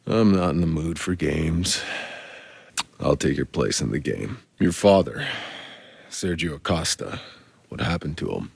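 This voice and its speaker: A man playing a gruff, weary, sarcastic soldier as a video game protagonist, terse and hard-bitten with a deep, gravelly voice. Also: expressive, unhurried, pauses often, heavily acted. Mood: dry, weary. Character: gruff, weary, sarcastic male Outrider soldier on a hostile planet. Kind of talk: dramatic video game voice acting.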